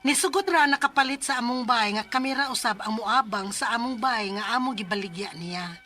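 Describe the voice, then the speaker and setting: An earnest young Cebuano Filipino daughter, respectful as she dramatizes her family's troubles. Her voice is high and bright, with faint music behind it. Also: fairly quick. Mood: earnest narration. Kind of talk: radio drama acting and first-person narration.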